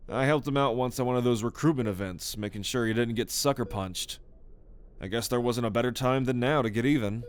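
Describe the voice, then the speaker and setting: A loyal young man, acted by a man talks in an audio roleplay with a wry, slightly awkward, conversational manner. His pitch is average, and some faint background noise sits behind him. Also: brisk, natural voice. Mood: explaining. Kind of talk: audio roleplay drama acting. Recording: clear background noise and ambience.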